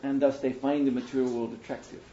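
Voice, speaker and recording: A man speaking as a spiritual teacher in a question and answer session, thoughtful and unhurried, pausing often. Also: medium pitch, level tone, a little hiss or hum. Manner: thoughtful, unhurried, with pauses and soft laughs. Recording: roomy hall, muffled and lo-fi.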